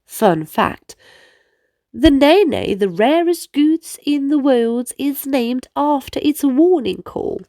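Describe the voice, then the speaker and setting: A woman reads a children's story aloud with lots of expression. Her pitch is high and swings widely, and she takes her time with the words. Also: no echo, clean studio sound. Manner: animated, deliberate storytelling. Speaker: children's book reader.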